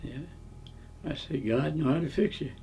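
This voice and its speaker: A man's voice: on the high side, normal speed, dark tone, a little hiss or hum. A man in his nineties reminiscing in casual conversation, mostly answering in short agreeing replies. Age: 90s. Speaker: interviewee.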